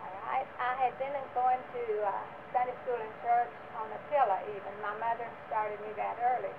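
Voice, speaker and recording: A woman's voice: fairly high pitch, level tone, a little fast, creaky. An older woman from Florida, plainly telling her life story to a church group. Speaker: church member guest. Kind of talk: casual personal account. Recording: lo-fi phone-like recording with hiss.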